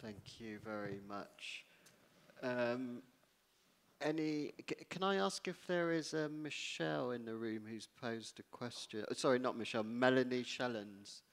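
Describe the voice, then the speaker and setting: A man's voice: mid-range voice, a little slow, a little hiss or hum. A man in his forties moderating a conference panel from the stage on a headset microphone. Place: large conference hall, on stage. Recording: echoing hall amplification.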